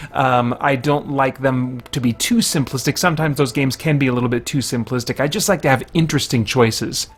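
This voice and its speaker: A man with a voice pitched a little higher than most hosts a game playthrough and review. He talks in a casual, conversational style, working out his thoughts aloud as he goes. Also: breathy edge.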